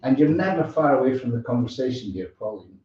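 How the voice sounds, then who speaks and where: A man hosts a casual live chat show in a soft, mellow voice. He rambles and pauses as he goes. The sound is very echoey and distant from the microphone. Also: medium pitch, animated, lo-fi sound, slight background noise. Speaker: show host.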